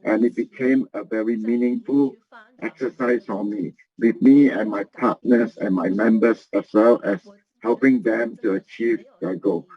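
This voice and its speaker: Earnest man giving a halting motivational talk. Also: on the low side, steady tone. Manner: earnest, halting, repeats phrases. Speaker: business presenter. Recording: muffled lo-fi recording.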